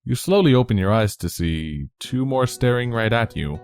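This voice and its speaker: A man reading second-person fan fiction aloud as narrator in a casual, expressive way. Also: mid-range voice, normal speed, clear, resonant tone, faint music behind.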